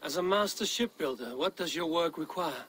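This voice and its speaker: A man's voice: fairly high pitch, expressive, moderate pace, a bit bright, slight background noise. A man playing a stoic Viking warrior leader in a video game, asking and answering in a terse, serious way. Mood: probing. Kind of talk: video game character acting.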